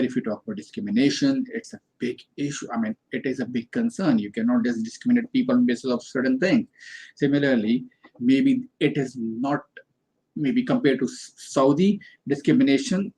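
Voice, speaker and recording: Gravelly, husky man talking things over. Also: medium pitch, mellow, rough recording. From Pakistan. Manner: hesitant, many fillers and restarts. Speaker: student. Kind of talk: informal spoken self-reflection.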